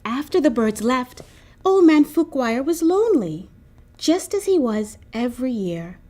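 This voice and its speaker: A woman reading a children's picture book aloud with expressive, animated storytelling. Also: high-pitched. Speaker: storybook reader.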